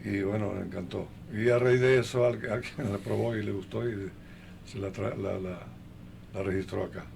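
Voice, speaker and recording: An older man being interviewed in a conversational way, speaking haltingly and listing things one by one, with a gravelly voice. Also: on the low side, steady tone, a little mellow, a little hiss or hum. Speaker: interview guest.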